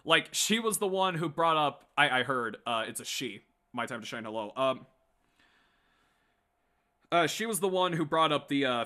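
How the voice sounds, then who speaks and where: A man's voice: a little high, bright, forceful tone. A man hosting a casual, opinionated commentary show, talking informally in a rambling, stop-start and emphatic way. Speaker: host of a late-night pop culture commentary show.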